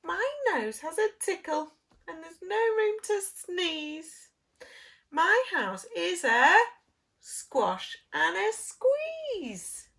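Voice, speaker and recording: A woman's voice: extremely high pitch, sing-song, extremely slow, gravelly, fairly bright tone, pitched above the performer's own voice, exaggerated voice. A woman voicing a flustered, grumbling little old lady from a storybook, plaintive and exclaiming, with stretched-out words. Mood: exasperated.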